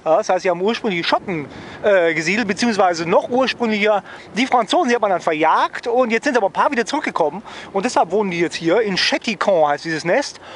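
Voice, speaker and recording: A man's voice: sits high, a bit bright, a little hiss or hum. A man chatting casually to viewers as a travel vlogger, rambling and wryly joking, often tagging phrases with a questioning "ne?".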